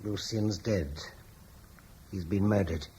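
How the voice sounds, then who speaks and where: A man's voice: on the low side, slow pace, warm tone. An actor playing an anxious, stammering man who blames others and is fleeing with his wife, in an old radio drama. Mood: grim. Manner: hesitant, stammering, gloomy. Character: anxious older Romano-British man, fearful, bitter. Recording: old broadcast with faint hiss.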